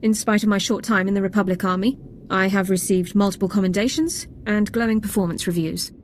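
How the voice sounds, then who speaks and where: A woman's voice: medium pitch, steady tone, a little fast, slight background noise, lightly acted. A woman playing a prim, by-the-book army sergeant in a video game, speaking formally and precisely. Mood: composed, earnest. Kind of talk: scripted video game acting.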